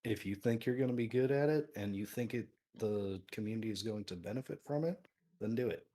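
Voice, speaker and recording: A man guesting on a podcast with a deep radio-like voice, easygoing and self-deprecating in casual chat. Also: a little fast, dark tone. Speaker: guest streamer.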